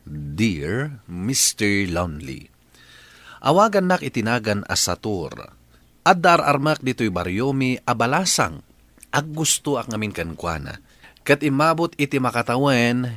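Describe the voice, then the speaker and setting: A man's voice: medium pitch, sing-song, measured pace, long pauses, fairly bright tone, no room sound. A man hosting an Ilocano radio drama, reading his scripted introduction in a dramatic announcing manner. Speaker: radio drama host narrator.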